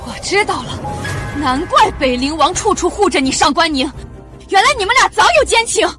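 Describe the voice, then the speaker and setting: Spiteful young accuser, extremely high, bright and sharp, sneering, period drama, faint music. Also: female voice, animated, normal speed. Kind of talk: costume short-drama acting.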